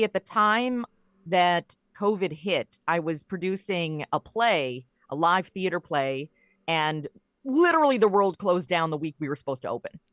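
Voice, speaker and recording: A woman's voice: fairly low pitch, dry recording, studio quality. A middle-aged woman speaking as a podcast guest in a casual conversational style, with a wry, joking manner. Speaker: podcast guest, audio comedy creator.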